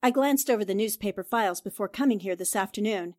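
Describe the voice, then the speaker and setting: An actress playing a shrewd newspaper reporter in a 1940s-style radio drama, questioning in a composed, pointed way. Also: female voice, mid-range voice, fast talker, darkish tone, close to an everyday voice. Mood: probing. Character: shrewd, probing female newspaper reporter Anne Rogers.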